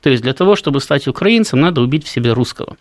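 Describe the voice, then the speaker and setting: A man's voice: medium pitch, lively intonation, very fast, dry recording. Guy on a talk show, lots of ums and restarts, dry close sound. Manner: hesitant, many fillers and restarts. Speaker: studio guest. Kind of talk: political talk show discussion. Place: studio.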